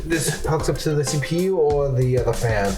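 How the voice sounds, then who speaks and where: A man with a slightly high voice chats casually as he helps out. His voice carries a clear room echo, with loud music playing along. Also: moderate pace. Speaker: helper. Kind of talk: casual chat, short questions and remarks.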